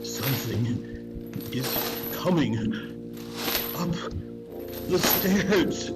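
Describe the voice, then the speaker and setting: A man's voice: fairly high pitch, expressive, very slow, loud music behind the voice, low-quality recording. A man playing a grumpy, miserly old businessman, blustering and exclamatory in a theatrical dramatic reading. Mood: fearful disbelief.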